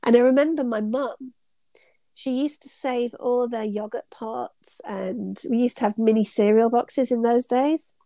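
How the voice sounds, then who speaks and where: A middle-aged woman with a British accent talks in a fairly high voice, a bit congested from a cold. She tells chatty, meandering stories with plenty of ums, and the recording is close and dry. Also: steady tone, measured pace, mellow, dry recording. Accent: British English. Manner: chatty, rambling storyteller with frequent ums and laughs. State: stuffed up with a cold. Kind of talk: casual conversational interview.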